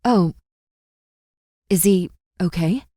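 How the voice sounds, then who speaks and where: A woman playing a stern, worried wife and mother in an anime audio drama, sharp, dry and exasperated with her husband. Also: on the low side, very slow, no echo. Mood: concerned. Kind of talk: anime audio roleplay acting.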